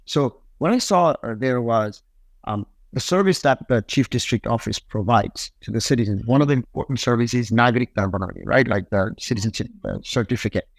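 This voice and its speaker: A man's voice: mid-range voice, animated, vocal fry, no room sound. A man from Nepal with a Nepali accent, a company head telling his story as a podcast guest, with lots of fillers and a mix of English and Nepali. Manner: rapid, many fillers, switches between English and Nepali.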